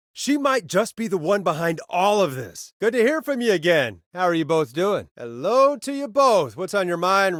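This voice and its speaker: A man's voice: mid-range voice, no echo, clean studio sound, close to an everyday voice. A man playing a sardonic, world-weary but caring late-night radio host in a video game, talking in a wry, conversational way. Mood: urgent, concerned.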